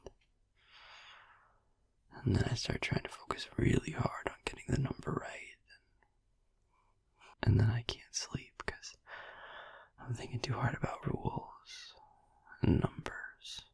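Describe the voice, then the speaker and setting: A man playing a gentle, caring vampire boyfriend in a soft, halting, breathy whisper for a sleep-aid ASMR roleplay, close to the microphone. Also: mid-range voice, no room sound, exaggerated voice. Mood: musing, soft. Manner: soft, halting, soothing, casual. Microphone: close, dry microphone.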